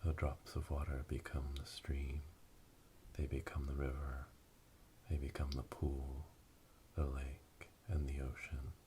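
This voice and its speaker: A man playing a solemn witch elder leading an initiation rite in a breathy, whispered ASMR roleplay, speaking slowly and ceremonially. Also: little pitch movement, mellow. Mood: solemn, hushed. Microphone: close binaural microphone. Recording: close binaural, rumbling noise, clicks.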